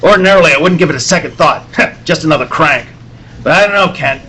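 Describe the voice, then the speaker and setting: Man playing an excitable, high-pitched newspaper editor. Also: fast, husky. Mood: rattled, anxious. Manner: blustering, barking orders, stammering when rattled. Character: gruff, excitable newspaper editor, an agitated boss. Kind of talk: old-time radio serial acting.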